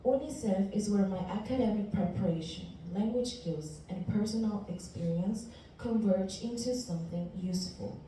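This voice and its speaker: In a reverberant room, picked up from some distance with faint noise, a woman in her twenties gives a prepared student talk. She is from Ecuador and speaks Spanish natively. Her voice is very dark and relaxed, and her delivery is earnest and deliberate. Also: mid-range voice. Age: 20s. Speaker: university student presenter. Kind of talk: prepared class presentation.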